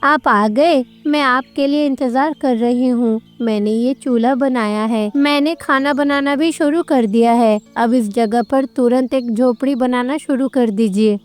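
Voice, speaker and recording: A woman voicing a gentle, devoted goddess mother and homemaker in a storybook tale. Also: high-pitched, measured pace, few pauses, faint music behind. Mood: welcoming. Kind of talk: storybook character dialogue.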